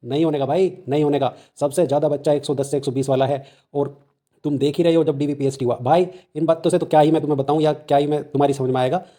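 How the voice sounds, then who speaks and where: A man's voice: on the high side, steady tone, quick pace, warm tone. A young man with a North Indian accent talks informally to viewers, explaining in an insistent, colloquial way and repeating his points for emphasis. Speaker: exam-news channel host and candidate activist.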